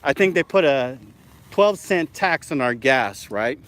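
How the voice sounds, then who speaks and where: A middle-aged man from Alaska hosting a casual live-stream vlog, excitable and chatty with lots of fillers, filmed outdoors on a handheld camera. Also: medium pitch, a little slow, slight background noise. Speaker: vlog host.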